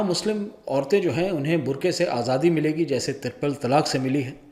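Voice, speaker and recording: A man presenting news commentary in an informal, opinionated way, often pausing mid-sentence. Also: a little high, darkish tone.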